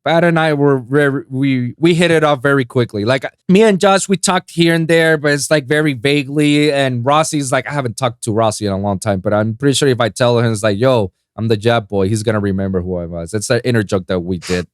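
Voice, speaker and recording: A man's voice: mid-range voice, fairly quick, hardly any pauses, clear, resonant tone, no echo, clean studio sound. A man from Puerto Rico, a native Spanish speaker with a Spanish accent, hosting a podcast and telling stories animatedly with lots of restarts. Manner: animated storyteller with frequent restarts. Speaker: podcast host. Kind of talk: casual chat.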